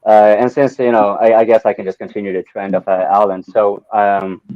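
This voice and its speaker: A man's voice: fairly low pitch, little pitch movement, fairly quick, no room sound, dull audio. A man from Norway with a Norwegian accent, rambling through a casual political chat with many restarts and fillers. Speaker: participant in an online open mic.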